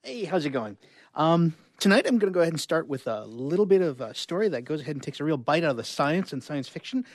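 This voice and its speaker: A man performing a live reading of short stories, with expressive delivery and casual asides. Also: fairly high pitch, quick pace, no echo.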